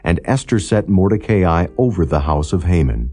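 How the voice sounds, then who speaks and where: A man reading scripture as a solemn, formal audiobook narrator, in a measured and non-dramatized style. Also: sits low, expressive, darkish tone, husky. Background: background music.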